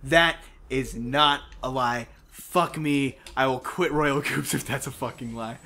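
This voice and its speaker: A man's voice: mid-range voice, fairly even intonation, measured pace, sharp tone, a little hiss or hum. A young man chatting casually while he plays a video game, teasing and swearing as he banters. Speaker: let's play co-host playing the game. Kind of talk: casual chat while gaming.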